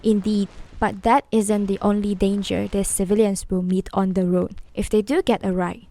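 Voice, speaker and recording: A woman's voice: mid-range voice, little pitch movement, moderate pace, mellow, lightly acted. A woman playing a composed war expert in a radio news interview, formal and explanatory. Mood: grave, informative.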